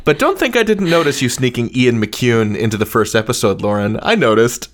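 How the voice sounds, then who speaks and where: A man with a medium-pitched voice hosts a casual interview. He talks informally and appreciatively, sounding like a fan of his guests' work. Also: expressive, a little fast.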